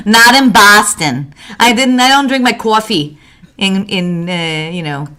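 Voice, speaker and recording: A woman born in Mexico co-hosting a casual chat show, lively and laughing often, dropping in Spanish words. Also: mid-range voice, slow. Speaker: co-host.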